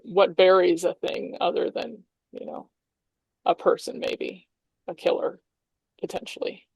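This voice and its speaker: A woman's voice: lowish voice, vocal fry, a little mellow, no echo. A woman game master improvising tabletop game narration in a conversational, explanatory way.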